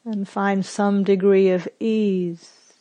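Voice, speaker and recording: A woman guides a meditation at a very unhurried pace. Her voice sits at a middle pitch. She gives gentle instructions in short phrases, leaving plenty of silence between them. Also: little pitch movement, highs cut off. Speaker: meditation teacher.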